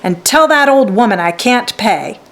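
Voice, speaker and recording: A stern, grasping man from a children's storybook, acted out by a woman reader. He speaks in a gruff, curt, dismissive way, with pitch that swings in a lively way. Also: moderate pace. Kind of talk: children's storybook read aloud.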